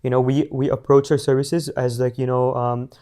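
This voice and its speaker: Give me a teenage man from India, rambling and flat-voiced, full of fillers. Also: medium pitch, normal speed. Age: teens. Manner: rambling, many fillers and restarts. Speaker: guest, young business founder. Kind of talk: casual interview answers.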